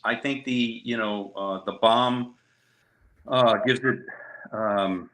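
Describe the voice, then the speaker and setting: A man in his fifties with a Rhode Island accent, talking as a guest in an easygoing, halting way. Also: fairly low pitch, fairly even intonation, a bit bright, poor audio. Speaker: company co-owner, guest.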